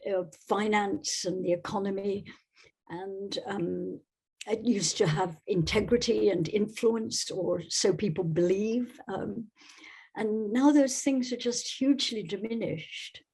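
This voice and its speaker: A woman from Scotland giving thoughtful, halting conversational answers as a podcast guest. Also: mid-range voice, darkish tone, slightly rough.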